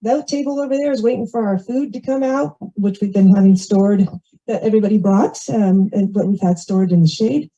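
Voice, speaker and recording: Female volunteer announcer, casual and rambling, soft dark tone, muffled lo-fi microphone sound. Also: mid-range voice, a little fast. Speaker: volunteer announcer at community event. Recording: dull, muffled event microphone audio.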